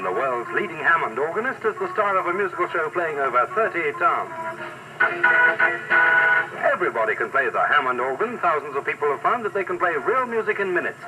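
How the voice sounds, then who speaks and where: A man's voice: on the high side, distant mic, rough recording. A man reading a scripted 1960s radio commercial in a punchy, salesmanlike way on an old tape. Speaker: radio advert announcer.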